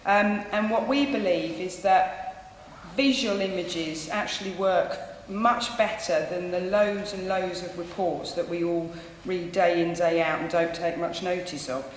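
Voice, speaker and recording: A woman giving a persuasive talk at a public event, earnest and pausing on many ums. She projects her voice, which she calls loud. The sound carries a long, heavy echo from a big hall and a light hiss. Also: lowish voice. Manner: says she has a loud voice. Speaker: campaign speaker. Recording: echoey hall, faint noise.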